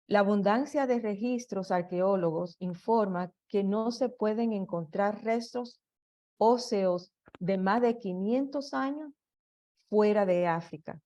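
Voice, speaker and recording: Slow, halting woman giving a lecture. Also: medium pitch, dark tone, lo-fi sound. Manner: slow and halting, with restarts and fillers. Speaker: presenter. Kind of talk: slide presentation lecture.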